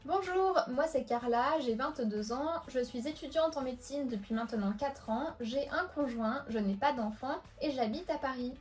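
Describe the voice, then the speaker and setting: A high-voiced young medical student speaks in a soft, low-key way, voiced by a woman. Plain cartoon contestant. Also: moderate pace, few pauses, faint music behind, lightly acted. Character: 22-year-old medical student woman, quiet.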